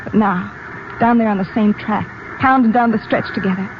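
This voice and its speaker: A woman's voice: mid-range voice, a little fast, natural voice. A woman acting an anxious, pleading, fretful character in old-time radio drama, colloquial and emotional. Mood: fearful, pleading. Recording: muffled, faintly noisy vintage radio recording.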